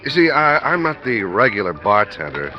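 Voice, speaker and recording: An actor playing a chatty, evasive bartender in a 1950s radio drama, affable and a little hesitant. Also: male voice, on the low side, very animated, moderate pace. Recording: dull vintage radio recording with music.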